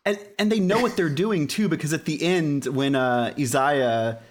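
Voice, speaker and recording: A man in casual podcast conversation, talking in an animated, sarcastic way and quick to laugh. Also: fairly high pitch. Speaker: podcast co-host discussing anime. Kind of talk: casual chat.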